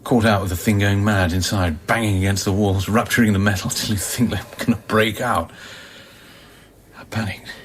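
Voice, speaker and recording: Radio drama supporting role, male actor: world-weary scientist colleague, low-pitched, probing and measured, dry wit, faint ambient noise. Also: fairly even intonation, natural voice. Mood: confiding, weary. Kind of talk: radio play acting.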